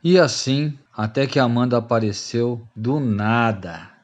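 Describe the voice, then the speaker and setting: A man's voice: medium pitch, unhurried, lo-fi sound, close to an everyday voice. An actor playing a repentant Brazilian rogue and former freeloader, telling his story to friends in radio drama style. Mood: rueful.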